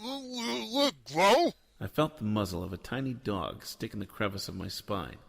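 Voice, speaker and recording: An actor playing a sardonic, deadpan noir detective who both narrates and talks in a radio drama. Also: male voice, medium pitch, measured pace, creaky, heavily acted. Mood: brooding narration. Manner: sardonic, deadpan, world-weary. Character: cynical wisecracking hardboiled male noir detective, Joe Smoke.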